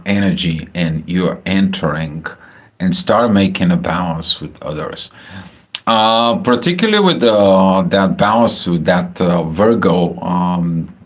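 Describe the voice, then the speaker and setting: A man with a Bulgarian accent talking casually about astrology on a livestream, halting with many filler sounds and restarts. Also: low-pitched. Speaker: astrology channel host. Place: home, house in Spain.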